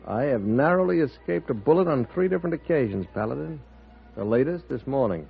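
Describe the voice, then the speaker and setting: A man's voice: mid-range voice, expressive, a little fast, creaky, husky. An actor playing a proud but uneasy railroad man in a 1950s radio western, speaking earnestly and formally. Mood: worried. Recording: muffled vintage radio broadcast with faint music.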